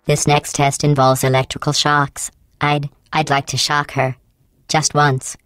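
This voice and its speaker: A woman voicing a cold, passive-aggressive female AI that runs a test facility in a video game, speaking in a deadpan, mock-polite monotone through synthetic robotic processing. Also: low-pitched, a little slow, clear, resonant tone, no echo.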